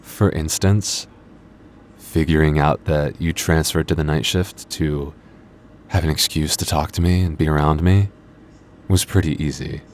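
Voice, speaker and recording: A man acts out a cocky vampire coworker in an ASMR audio roleplay. His voice is extremely low, with a smug, flirtatious, dryly teasing delivery. Some background noise sits under the voice. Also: fairly even intonation, unhurried. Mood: defensive, smug. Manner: smug, flirty, dry teasing with sighs and chuckles. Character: cocky, teasing, moody male vampire convenience-store coworker. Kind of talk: intimate ASMR roleplay acting.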